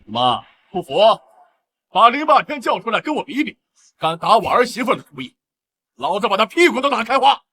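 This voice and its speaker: A man's voice: high-pitched, very animated, moderate pace, long pauses, sharp tone, slightly rough, full character voice. A man acting a brash, swaggering middle-aged patriarch in a melodrama, coarse and taunting. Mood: belligerent taunting. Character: brash, swaggering rich family patriarch, protective father. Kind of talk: melodramatic short-drama acting.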